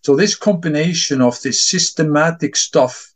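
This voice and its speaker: A man's voice: fairly high pitch, moderate pace. A middle-aged man from Iceland, a discus coach answering interview questions earnestly in non-native English, often repeating words as he gathers his thoughts. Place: home.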